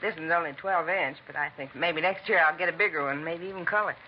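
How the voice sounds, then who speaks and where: A woman's voice: low voice, quick pace, slight background noise, close to an everyday voice. Actress as blunt, gabby American neighbor lady, folksy working-class housewife for vintage radio drama, muffled recording. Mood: chatty, enthusiastic. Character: chatty, nosy, working-class housewife, television fan. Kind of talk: 1950s radio drama acting.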